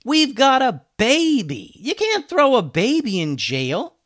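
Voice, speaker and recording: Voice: medium pitch, very animated, normal speed, no room sound, studio quality, pitched above the performer's own voice. A storyteller voicing an eager, earnest young boy on an adventure in a children's story. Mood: alarmed.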